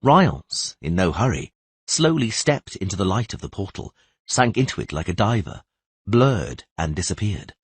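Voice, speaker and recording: A man's voice: lowish voice, sing-song, moderate pace, husky, no echo. A man narrating a fantasy audiobook in a dramatic, scripted storytelling style.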